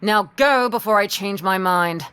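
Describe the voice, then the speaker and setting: A woman acts as a cold, commanding captain of a ship in an audio drama. Her voice sits at a middle pitch. She speaks curtly and imperiously, with stern authority. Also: unhurried, bright, forceful tone, smooth, no echo. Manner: curt, imperious.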